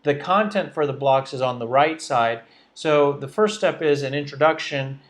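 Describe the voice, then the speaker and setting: A man's voice: on the high side, moderate pace. A man presenting as a sales trainer, explaining step by step in an instructional style and often restarting his phrases.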